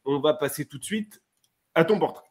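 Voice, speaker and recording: A man's voice: fairly high pitch, normal speed. A man hosting a casual political chat, joking and tripping over his own words. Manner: joking, stumbles and repeats words. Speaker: host. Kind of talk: casual chat on a live stream.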